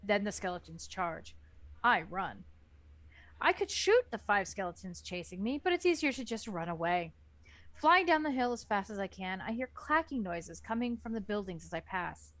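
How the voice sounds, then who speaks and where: A woman's voice: medium pitch, sing-song, moderate pace, a bit bright, dry recording. A woman reading scripted first-person story narration as the narrator of an amateur book reading.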